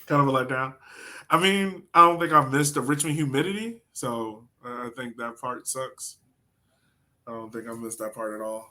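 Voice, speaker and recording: Bright-voiced man chatting casually about sports. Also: medium pitch, steady tone, normal speed. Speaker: soccer podcast co-host.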